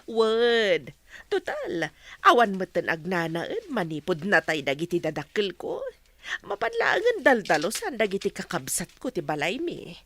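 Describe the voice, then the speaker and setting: Slow, wildly expressive Ilocano woman. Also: medium pitch, full character voice. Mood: friendly, breezy. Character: generous, well-off woman, returning high school best friend. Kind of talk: Ilocano radio comedy-drama acting.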